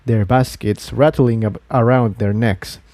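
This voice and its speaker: A man's voice: medium pitch, fairly even intonation, a little slow, warm tone, dry recording. A man reading a story aloud as a scripted narrator. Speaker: fan fiction narrator.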